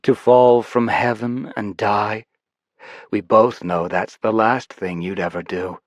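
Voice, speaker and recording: A man's voice: on the low side, steady tone, slow pace, no echo. A man reading an audiobook voices a cruel, mocking woman villain with a taunting, contemptuous manner.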